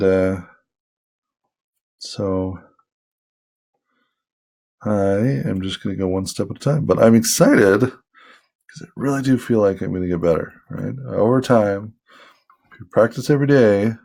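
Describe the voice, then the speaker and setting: A man's voice: on the low side, slow pace, vocal fry, long pauses, warm tone. A man casually talking to viewers while he draws, rambling and self-deprecating, with a soothing voice and many fillers. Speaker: hobby artist vlogger. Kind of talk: casual talk while drawing.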